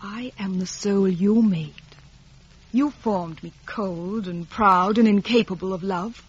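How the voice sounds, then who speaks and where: A woman's voice: mid-range voice, slow pace, husky. An actress playing a cold, proud young woman, speaking formally and declaiming in 1950s radio drama style. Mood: proud, unyielding. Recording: dull vintage radio recording.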